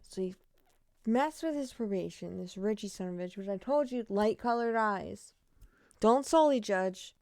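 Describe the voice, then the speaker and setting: A woman in her thirties chatting casually on a stream, rambling with frequent laughs and asides, sometimes dropping to a soft murmur. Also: mid-range voice, animated, normal speed. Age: 30s. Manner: drops to a soft murmur when talking to her pet. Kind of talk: casual stream chat reacting to a true crime case.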